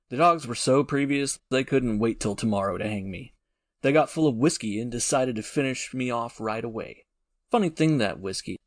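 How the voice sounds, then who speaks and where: A plucky young man of adventure, played by a male reader, mid-pitched and recorded very dry. He speaks with wry slang, in the manner of an audiobook drama. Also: dry recording.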